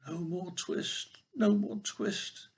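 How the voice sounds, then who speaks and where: An older man voicing a poor old tailor in a storybook, anxious and mournful, muttering and lamenting in drawn-out words. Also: sits high, slightly rough, pitched above the performer's own voice, heavily acted. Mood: anxious pleading.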